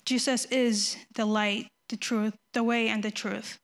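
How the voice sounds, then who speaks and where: A young woman from Ethiopia giving a nervous, halting personal faith testimony before her baptism, often restarting her sentences. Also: on the high side, flat delivery. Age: young adult.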